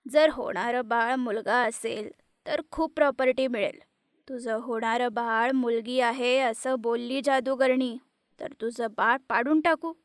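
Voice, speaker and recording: A woman voicing a harsh, scheming middle-aged mother-in-law in an animated story, with a scolding, threatening manner. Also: a little high, a little slow, dry recording, pitched below the performer's own voice. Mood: cold threat.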